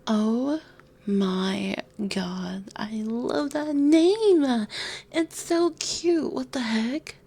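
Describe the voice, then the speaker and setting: A woman voicing an excitable, affectionate and playful young woman in an ASMR roleplay, gushing and teasing. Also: on the high side, extremely slow, breathy edge, full character voice.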